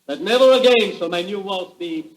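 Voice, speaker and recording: An actor playing a proud, emotional composer-conductor making a grand declamatory speech in a 1940s radio drama. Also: male voice, sits high, expressive, normal speed. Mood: solemn, exultant. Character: proud, emotional European composer-conductor addressing his audience. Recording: roomy vintage radio drama recording.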